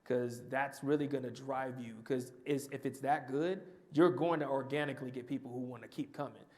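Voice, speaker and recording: A man's voice: mid-range voice, fairly even intonation, brisk, a little mellow. Man answering a panel question. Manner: conversational, restarts and repeats himself.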